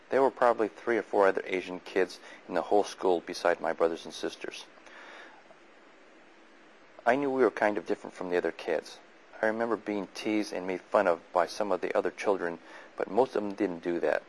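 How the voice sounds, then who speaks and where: A man in his fifties from California, whose first language is Chinese, recalling his childhood in a measured, plain, reflective way. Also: low-pitched, rough recording. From Sunnyvale, California. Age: 50s. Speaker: oral history narrator. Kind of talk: reading a prepared memoir, then unscripted recollection.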